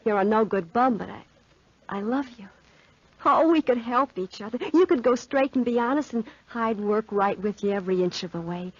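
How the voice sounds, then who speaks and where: A woman's voice: on the high side, expressive, moderate pace. An actress playing a desperate, lovesick working-class young woman in a 1940s radio melodrama, pleading in slangy American speech. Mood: earnest pleading. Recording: muffled, band-limited 1940s radio recording.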